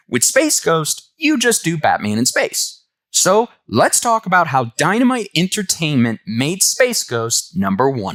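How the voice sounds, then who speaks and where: A man's voice: medium pitch, a bit bright, no room sound. A man hosting a comic book review, giving enthusiastic, semi-scripted commentary.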